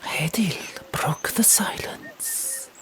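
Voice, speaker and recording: A woman reads a scripted story in a soft whisper, ASMR style. She takes it extremely slowly, phrasing each part deliberately and with a sense of drama. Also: a little high, wildly swooping pitch. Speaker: audiobook narrator.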